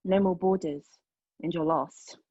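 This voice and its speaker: A woman playing a bitter, sardonic pregnant woman in a dramatic monologue, with an intense, deliberate delivery and a husky voice. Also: lowish voice, steady tone, dark tone, slight background noise, lightly acted. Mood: pained, reflective. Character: anguished, bitter, sardonic pregnant woman telling her story. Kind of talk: dramatic monologue at a table read. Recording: slightly dull, lo-fi sound.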